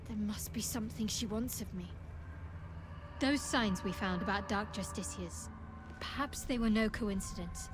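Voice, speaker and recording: A woman's voice: mid-range voice, background noise, lightly acted. A woman playing a guarded, devout young cleric in a fantasy video game, dry and teasing. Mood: awed, devout. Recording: clear music behind.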